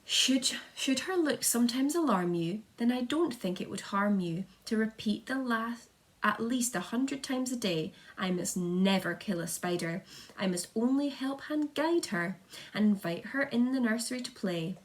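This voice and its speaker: A woman's voice: on the high side, expressive, normal speed, lightly acted. A woman voicing an eager, polite young boy who proudly presents friends in sing-song storybook rhyme. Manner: sing-song rhyming delivery, showman-like.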